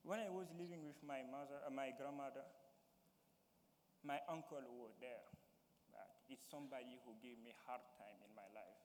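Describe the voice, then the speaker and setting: Young Malian man in a reverberant hall. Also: mid-range voice. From Mali. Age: young adult. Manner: halting, searching for words in English. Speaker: youth panelist. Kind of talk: personal testimony at a town hall. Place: large hall. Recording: reverberant hall with faint noise.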